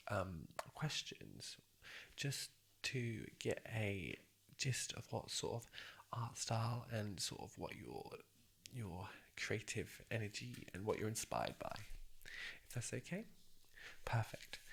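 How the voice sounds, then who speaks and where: A soft-spoken man performs an ASMR interviewer roleplay. His voice sits on the low side, husky and breathy with a creaky edge, slipping toward a whisper. He sounds gently encouraging and somewhat hesitant.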